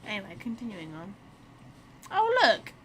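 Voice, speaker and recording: A woman's voice: mid-range voice, huge pitch swings, moderate pace, a bit bright, a little hiss or hum. A woman game streamer giving casual, playful commentary, chatting and thinking aloud as she plays. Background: video game sounds.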